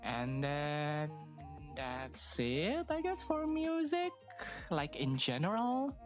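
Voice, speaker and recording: A woman's voice: sits low, huge pitch swings, slow, music in the background. Stuffy-nosed woman rambling on stream. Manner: rambling, hesitant, many fillers. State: stuffy nose. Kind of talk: casual live-stream chat.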